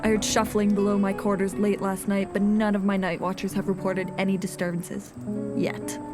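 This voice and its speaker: A grave ship's captain of the 1860s played by a woman dictates a formal log for an audio drama. The delivery is measured and flat in pitch, with music playing clearly underneath. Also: close to an everyday voice. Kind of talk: scripted audio drama narration.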